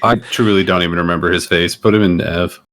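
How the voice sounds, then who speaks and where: A man with a low voice chats on a podcast panel. His delivery is casual, blunt and offhand. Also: steady tone, fast, fairly bright tone, a little hiss or hum. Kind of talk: casual chat.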